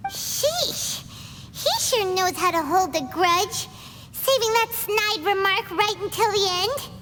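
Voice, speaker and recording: A woman voicing a tiny, excitable, fretful fairy companion with a squeaky childlike voice in a video game. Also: slow, background noise, full character voice. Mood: chatty, fretful. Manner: exclaiming, refers to self in third person. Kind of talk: video game cutscene acting. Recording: long reverb, music behind.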